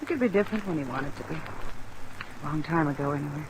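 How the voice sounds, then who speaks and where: An actress playing a weary, disillusioned widowed ranch wife, quiet and resigned, in an old-time radio drama. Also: female voice, sits low, animated, quick pace, low-quality recording. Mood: resigned, bitter. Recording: old radio recording under rain noise.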